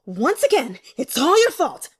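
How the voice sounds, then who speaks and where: A woman's voice: high voice, huge pitch swings, measured pace, pitched above the performer's own voice, full character voice. A woman voicing a haughty, irascible young nobleman who bellows imperious orders in an audiobook. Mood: raging. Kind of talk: audiobook character acting.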